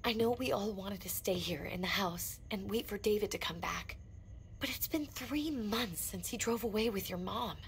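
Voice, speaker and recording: A woman's voice: medium pitch, normal speed, a little hiss or hum, lightly acted. An actress playing a weary, frightened woman survivor in a video game drama, blunt and emotionally raw. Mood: determined, urgent. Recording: music behind the voice.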